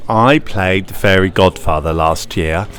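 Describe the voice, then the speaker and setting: A man's voice: low voice, a little slow, a bit bright. A man, a pantomime actor, answering interview questions in a chatty, enthusiastic way.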